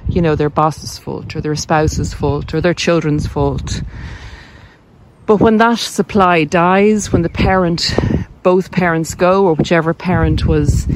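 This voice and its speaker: A woman giving an informal, explanatory monologue as a podcast host, posing questions and answering them in a conversational way. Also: deep voice. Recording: loud vehicle and wind noise, clicks.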